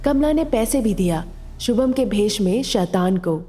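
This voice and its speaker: A woman's voice: medium pitch, animated, a little slow, dark tone, big-room sound, faint music behind. A woman narrating a scripted story as a storyteller.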